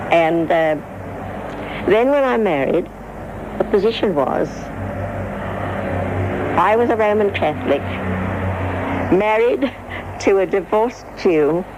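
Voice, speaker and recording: An older Australian woman reminiscing in an interview, telling her story in a measured, deliberate way. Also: medium pitch, lively intonation. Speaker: interviewee, veteran stage performer. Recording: muffled, faintly noisy archival interview.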